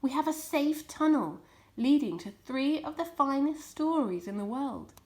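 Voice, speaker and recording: A woman's voice: sits high, animated, a little slow, pitched above the performer's own voice. A woman voicing a jovial, confident male fox leader, making rousing speeches in a children's storybook. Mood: serious, rousing. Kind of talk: children's storybook character reading.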